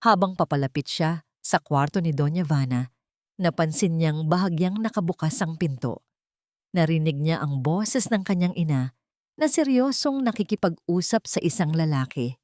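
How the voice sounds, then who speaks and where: A woman narrating a romantic audio drama in a measured, emotive storytelling manner. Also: low-pitched, animated, a little mellow, no room sound, studio quality.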